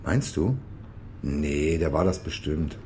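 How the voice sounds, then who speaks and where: A good-natured hapless crook in a crime radio play, soft dark voice, hesitant, bit of ambience behind. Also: male voice, extremely low pitch, animated, a little hiss or hum, full character voice. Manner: hesitant, halting, sheepish. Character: naive, good-natured, easily overwhelmed amateur crook.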